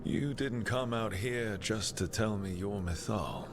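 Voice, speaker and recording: A man's voice: on the low side, measured pace, background noise. A man playing a curious, down-to-earth fantasy adventurer in a video game, plain-spoken and conversational. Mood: direct, inquiring. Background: prominent music.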